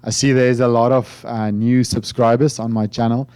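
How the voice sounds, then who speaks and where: A man's voice: mid-range voice, little pitch movement, normal speed, a little mellow, clear, resonant tone, no echo. A man from South Africa with a South African accent, Afrikaans his first language, chatting informally to his channel about finance with lots of fillers and restarts. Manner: rambling, hesitant, many fillers and restarts. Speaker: finance YouTuber. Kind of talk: informal talk to camera.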